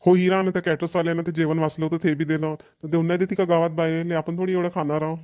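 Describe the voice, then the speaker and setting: Guy doing a chatty village family man for a cartoon, varhadi accent, kinda high pitched, folksy and repeats himself. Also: flat delivery, fast, darkish tone, natural voice. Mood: approving, chatty. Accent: rural Maharashtrian, Varhadi.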